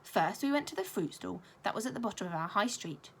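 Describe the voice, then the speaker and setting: A woman reading a children's story aloud like a primary school teacher, expressive and clearly paced. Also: medium pitch, brisk.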